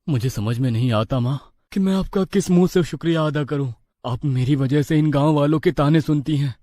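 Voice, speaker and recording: A man's voice: a little high, a little fast, mellow, no echo, studio quality, natural voice. A man playing an earnest, respectful young village husband and dutiful son. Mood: concerned, grateful.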